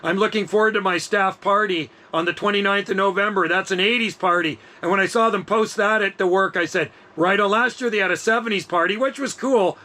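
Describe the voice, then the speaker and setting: A man in his fifties with a high, bright, forceful voice chats over two-way radio. He talks casually and enthusiastically, in easygoing slang. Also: brisk, few pauses. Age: 50s. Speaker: amateur radio operator.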